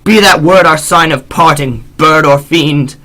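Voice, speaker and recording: A man's voice: a little high, a little slow, bright, forceful tone, pitched above the performer's own voice. A man voicing a grief-stricken, desperate man in a gothic poem, declaiming in theatrical anguish. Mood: enraged shrieking. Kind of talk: gothic poetry recitation.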